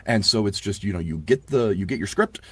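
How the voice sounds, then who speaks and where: A man who works as an audiobook narrator, chatting casually in an interview in a rambling, halting way with many restarted sentences. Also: fairly low pitch, expressive, fairly bright tone, husky, dry recording.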